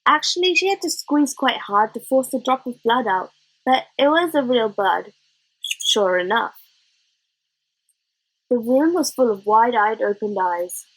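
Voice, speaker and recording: Woman narrating a kids book, expressive, voice on the high side and kinda husky. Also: poor audio. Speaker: narrator reading a children's novel.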